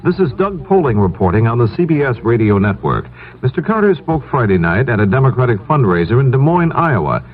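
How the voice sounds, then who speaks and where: An American man anchors a 1970s radio newscast. His pitch is middling but very animated. The recording is narrow and tinny. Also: a little fast, slight background noise. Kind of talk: 1970s network radio news reading.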